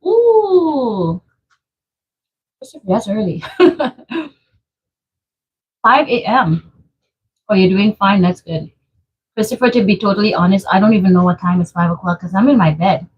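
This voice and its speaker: A woman's voice: medium pitch, big pitch swings, measured pace, long pauses, slightly rough, dull audio. A woman from Trinidad with a Trinidadian accent, chatting casually on a live stream at home and reading out viewer comments in a playful way. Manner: chatty and playful, laughing often. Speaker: vlogger hosting a live stream.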